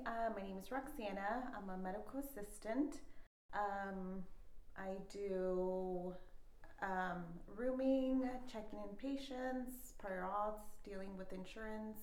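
Woman medical assistant from California, halting, filler-heavy answers, roomy echo. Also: medium pitch, steady tone, a little mellow. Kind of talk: casual interview. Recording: echoey room.

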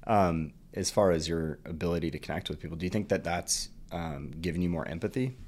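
A man's voice: sits low, fairly quick, a little mellow. A man hosting a podcast who asks casual interview questions and keeps affirming his guest. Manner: friendly, agreeable, lots of short affirmations. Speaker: podcast host.

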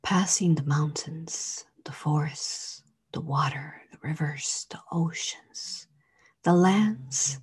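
A woman leading a guided meditation in a soothing, hypnotic way, with drawn-out, repeated phrases and a breathy voice that often drops into a whisper. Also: sits low.

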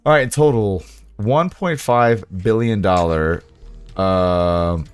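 A man with a raspy, hoarse voice chatting casually with his livestream viewers, rambling, sarcastic and swearing as he reads figures aloud. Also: fairly low pitch, slow, faint music behind. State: hoarse, sore voice.

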